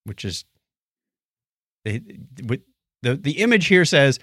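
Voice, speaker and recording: A man's voice: medium pitch, very animated, takes it slowly, fairly bright tone, dry recording, studio quality. A man co-hosting a podcast, chatting casually through science news with jokey, wry asides. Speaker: podcast co-host. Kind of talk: casual science news chat, paraphrasing articles.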